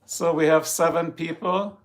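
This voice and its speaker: An older man with a German accent hosts a loose, joking live chat, drifting between topics and greeting his viewers. His voice is heavily gravelly, with plenty of fry, and it sits a little higher than most men's. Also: fairly even intonation, unhurried. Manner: rambling, jokey, chatting with viewers. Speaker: live stream host. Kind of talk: casual chat. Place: home, in Ohio.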